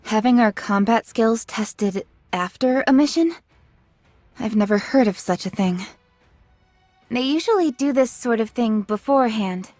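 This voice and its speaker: Game role: calm young female soldier, played by an actress. Fairly high voice, composed, factual delivery, dry recording. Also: measured pace, no echo, soft background music, close to an everyday voice. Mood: matter-of-fact. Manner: composed, matter-of-fact. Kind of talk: English video game voice acting.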